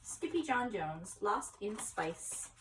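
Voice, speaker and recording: A woman reading a children's story aloud expressively, pausing for explanatory asides and questions to young listeners. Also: medium pitch, sing-song, far from the mic, very noisy. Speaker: story reader.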